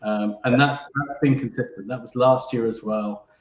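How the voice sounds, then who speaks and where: A man's voice: medium pitch, fairly even intonation, moderate pace. Roomy, muffled male interview host. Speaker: host, company co-founder. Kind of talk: live business interview, casual chat. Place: indoor room, online live stream. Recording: roomy, muffled microphone with background noise.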